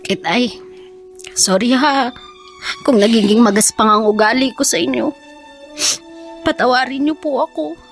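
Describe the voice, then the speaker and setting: A woman's voice: fairly high pitch, measured pace, pauses often, faint music behind. A woman playing a respectful, earnest daughter in a Filipino radio drama. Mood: apologetic. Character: dutiful, apologetic teenage daughter of an estranged father.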